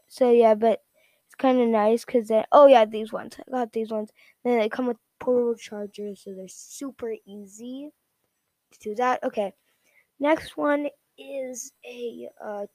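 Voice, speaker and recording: A woman giving a casual, unscripted product rundown in a rambling, repetitive, halting way. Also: a little high, dark tone, dry recording. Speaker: product reviewer.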